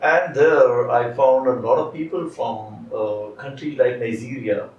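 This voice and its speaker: A man interviewing a guest, asking halting questions filled with hesitations. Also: mid-range voice, highs cut off. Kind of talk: conversational interview. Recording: echoey room, mic at a distance.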